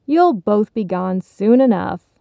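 A woman's voice: medium pitch, moderate pace, no room sound, narrow, tinny audio, close to an everyday voice. A woman voicing a bitter, long-shelved male soap gift basket in a story, curt and world-weary.

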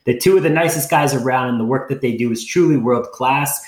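A man's voice: medium pitch, brisk. A man hosting a podcast interview in an upbeat way, stumbling over restarts. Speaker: podcast host. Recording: echoey room.

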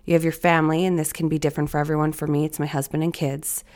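A woman in her thirties hosting a solo podcast, her voice raspy and hoarse, talking in a reflective, encouraging way straight to her listeners. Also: low voice, flat delivery, fast, darkish tone. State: hoarse from allergies or illness. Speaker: podcast host.

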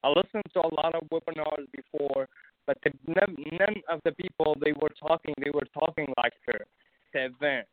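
A man's voice: fairly high pitch, normal speed, dry recording. A young man with an Arabic accent, a guest in a casual interview, talking hesitantly with many fillers and restarts. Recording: phone-like narrow sound, dry.

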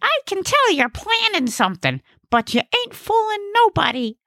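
A tiny, cranky alien guy, played by a woman narrator, speaks way up high in an audiobook. He is brash and bossy, with folksy grammar and invented words. The sound is dry and close. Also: big pitch swings, normal speed, gravelly, bright, forceful tone, dry recording, exaggerated voice. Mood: suspicious. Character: bossy, cranky small male alien, mangles big words. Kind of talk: audiobook character voice.

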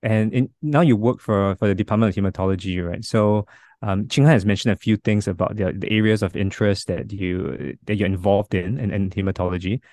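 Man, forties, podcast host, low voice, halting, dry sound. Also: steady tone, a little mellow, dry recording. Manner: halting, many restarts and fillers. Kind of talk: casual podcast hosting.

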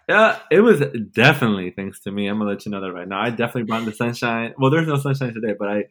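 A man's voice: medium pitch, expressive, racing, a bit bright. A man in his twenties from Mexico, Los Angeles and Nashville, talking casually as a podcast guest in an informal, playful, slangy way. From born in Mexico, grew up in Los Angeles and Nashville. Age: 20s. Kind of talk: casual conversational interview.

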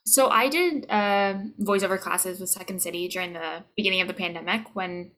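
A woman's voice: fairly low pitch, brisk, fairly bright tone, a little hiss or hum. A woman chatting casually as an interview guest, with emphatic repeats and checks for agreement.

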